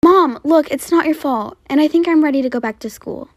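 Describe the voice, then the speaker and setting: A woman's voice: sits high, brisk, darkish tone, no echo, clean studio sound, natural voice. A woman voicing a brave, eager young schoolgirl in an amateur roleplay drama. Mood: excited.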